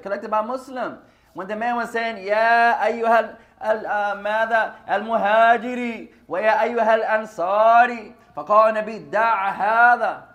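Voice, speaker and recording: High-voiced man teaching religion. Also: a bit bright. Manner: conversational, mixes Arabic phrases, addresses audience as brothers. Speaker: Islamic teacher. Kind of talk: informal religious lesson.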